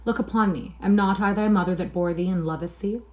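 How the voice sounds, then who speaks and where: A woman's voice: medium pitch, quick pace, close to an everyday voice. A woman reading aloud and voicing a distraught poor mother, tearful and pleading. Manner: pleading, lamenting.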